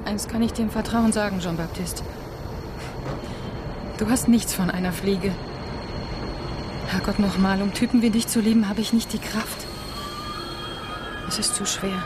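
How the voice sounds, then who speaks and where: An actress as a weary, elusive lover in a radio drama. Her mid-pitched voice sounds out over loud station and vehicle noise. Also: steady tone, a little slow, pauses often, natural voice. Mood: weary, resigned. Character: weary, elusive woman leaving the man she loves. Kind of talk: German radio drama acting. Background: station ambience, vehicles.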